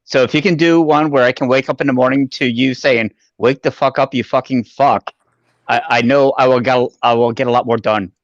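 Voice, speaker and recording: A man bantering casually on a video call in a joking, halting way, with dull lo-fi sound. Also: medium pitch, fairly even intonation, no echo. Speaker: co-host.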